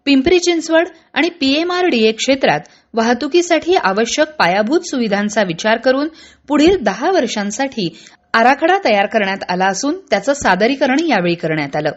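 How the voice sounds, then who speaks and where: A woman reads radio news. Her delivery is formal and measured, following a script. The sound is a little dull and band-limited. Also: fairly high pitch, expressive. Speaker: radio newsreader.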